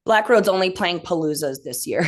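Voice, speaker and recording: A woman's voice: lowish voice, animated, normal speed. A woman with a Midwestern accent, a band member chatting as an interview guest in a laid-back, joking, self-deprecating way. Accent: Midwestern American. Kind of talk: casual interview chat.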